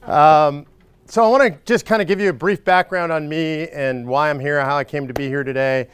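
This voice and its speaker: A man in his forties giving a loud, casual, joking talk to a live audience of supporters. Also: a little high, moderate pace, clear, resonant tone, no echo. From Northern California. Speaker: speaker addressing supporters. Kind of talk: casual stump speech.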